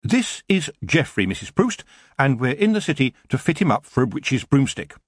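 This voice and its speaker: A man's voice: medium pitch, sing-song, normal speed, slightly rough, no room sound, clean studio sound, voice pushed up. A man voicing a determined young woman witch in an audiobook, speaking earnestly and frankly. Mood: confident. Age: young adult. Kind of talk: audiobook character voice.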